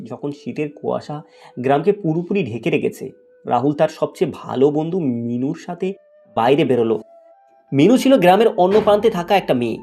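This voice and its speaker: Guy narrating a kids fairy tale, mellow dark-ish voice, bit of music behind. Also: fairly high pitch. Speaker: storyteller, narrator.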